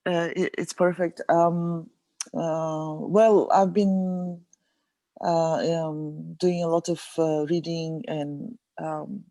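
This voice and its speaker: Hesitant, slow-talking woman from Bulgaria. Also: low voice, flat delivery, warm tone, no room sound. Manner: hesitant, many ums and uhs. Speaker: webinar participant. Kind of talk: casual spoken introduction. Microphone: video call.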